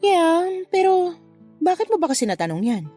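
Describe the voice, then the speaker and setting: A woman playing a flirtatious, unapologetic married mother in a Tagalog radio love drama, with a playful, expressive delivery. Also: very high-pitched, normal speed, soft background music. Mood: candid, defensive. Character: flirtatious married mother having a secret affair, breezily unapologetic.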